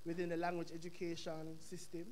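A young man with a Jamaican accent asking a question from the floor of a lecture hall, telling his story in an animated way. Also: fairly high pitch, brisk. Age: young adult. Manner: animated storytelling, quoting others. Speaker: audience member asking a question. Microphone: stand microphone in audience.

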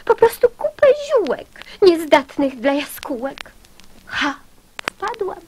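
A plucky, fretful little swallow in a children's radio play. The high voice moves slowly through theatrical verse with lively swoops, over a noisy recording. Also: female voice, heavily acted. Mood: aggrieved. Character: female swallow, small proud bird, fretful and plucky.

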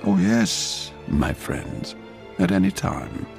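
An actor playing a bitter, ageing court composer, confiding and anguished, in a theatrical, measured radio drama monologue. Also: male voice, sits low, wildly swooping pitch, vocal fry, a little mellow, soft background music, full character voice. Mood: bitter, scheming. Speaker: actor reading a stage play as narrator.

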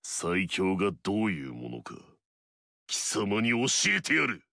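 A scornful warrior who craves battle. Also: male voice, deep voice, wildly swooping pitch, a little slow, vocal fry, pushed and bright, no echo, studio quality, full character voice. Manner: curt, scornful, commanding. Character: arrogant mightiest male warrior, proud and battle-hungry. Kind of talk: video game story dialogue.

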